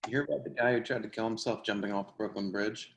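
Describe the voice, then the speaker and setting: Grungy, tough mid-twenties drinker male actor, low register, offhand profane stage dialogue, echoey room. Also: fast, gravelly, slight background noise. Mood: matter-of-fact. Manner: slangy, profane, conversational. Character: twenty-four-year-old grungy, tough drinker, casual and defensive. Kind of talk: remote play reading, naturalistic casual dialogue. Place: indoor room, remote video call. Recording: roomy, echoey video call.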